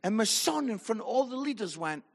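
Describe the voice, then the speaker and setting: High, lively man telling a story. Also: fairly quick, vocal fry. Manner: animated, bursts into loud punchlines, acts out quoted voices. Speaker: preacher. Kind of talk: humorous sermon storytelling. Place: church.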